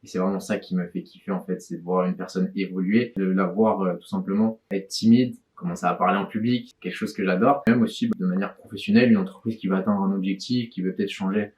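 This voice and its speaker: A young man in his twenties speaks very quickly in an informal interview. His voice sits a little low. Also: fairly even intonation, darkish tone. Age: 20s. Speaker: motivational speaker and student.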